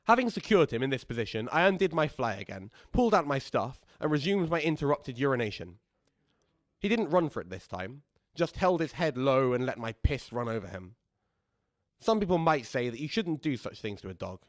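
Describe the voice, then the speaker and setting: A man acts out an old widower confiding his tale in a radio play monologue. His voice sits at an average male pitch in a very dry recording. He rambles with fussy, pedantic corrections, aggrieved and coldly sure that his petty cruelty is justified. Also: big pitch swings, brisk, no echo, lightly acted. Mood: pedantic, callous.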